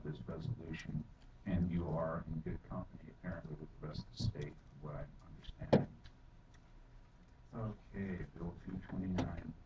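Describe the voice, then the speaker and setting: A deep-voiced middle-aged man testifies in a rambling way, with many fillers, and turns forceful as he goes. He sounds dull and distant, caught across a reverberant council chamber. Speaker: public testifier. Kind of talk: public testimony at a council hearing. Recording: echoey hall from far off, dull and noisy.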